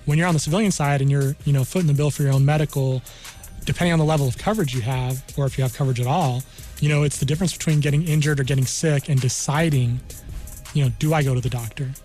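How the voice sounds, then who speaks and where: A man's voice: medium pitch, steady tone, fast, darkish tone, faint music behind. A man working as an army career counselor, explaining things conversationally as a radio guest and often saying "you know.". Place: radio studio.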